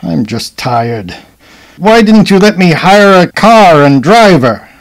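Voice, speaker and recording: Radio drama: grumpy, easily charmed American tourist husband, male actor, high voice, big swings in pitch. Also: big pitch swings, takes it slowly, full character voice. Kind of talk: radio play acting.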